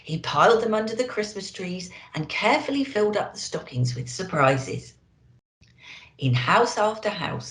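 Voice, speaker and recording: A woman reads a storybook to young children with expressive, lively rises and falls. She takes her time over the phrases, in a slightly low voice. The room adds a noticeable echo. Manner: expressive, addressing children directly. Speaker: children's storyteller in character as an elf. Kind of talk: animated picture-book reading for young children. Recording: roomy, echoey indoor sound.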